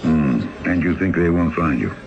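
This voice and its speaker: A man's voice: deep voice, moderate pace, highs cut off, crowd noise. An actor playing a weary, fatherly exile boatman in his fifties, ruminative in an old-time radio drama. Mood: skeptical. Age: 50s. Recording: old radio recording with music.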